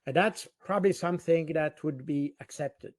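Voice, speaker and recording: A man giving spontaneous analysis in an interview, halting and repeating words for emphasis. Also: fairly high pitch, no echo.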